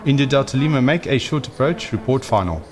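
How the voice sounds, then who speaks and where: A man playing an airport tower controller, reading out scripted clearances in radio-call practice. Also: medium pitch, animated, a little fast, lightly acted. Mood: procedural. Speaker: air traffic controller in practice exercises. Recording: clear vehicle-like background noise.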